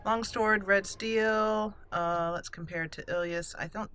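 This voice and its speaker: Bright-voiced woman doing game commentary. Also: medium pitch, fairly even intonation, a little slow, smooth. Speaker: gaming channel host. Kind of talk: roleplay let's play commentary.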